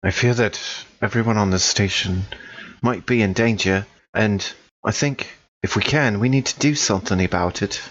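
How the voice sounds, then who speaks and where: A man's voice: lowish voice, moderate pace, a bit bright, no echo, lo-fi sound. A man voicing a damaged android teenage boy who speaks politely and formally, with some hesitation. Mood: earnest. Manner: courteous, hesitant.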